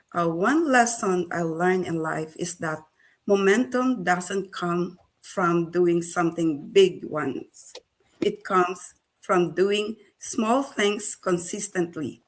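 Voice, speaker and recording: An older woman from the Philippines, a great-grandmother, giving a slow, measured prepared talk with some hesitations. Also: medium pitch, poor audio. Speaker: panel speaker.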